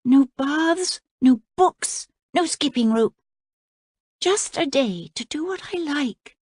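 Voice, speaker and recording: A woman voicing an earnest young princess in a high, plaintive girlish voice. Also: animated, takes it slowly, darkish tone, no room sound, studio quality.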